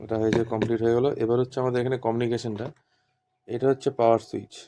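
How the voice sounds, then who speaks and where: A man's voice: medium pitch, level tone, racing, background noise. A man giving an informal hands-on tutorial as an instructor, explaining step by step and repeating himself. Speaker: instructor demonstrating walkie-talkies.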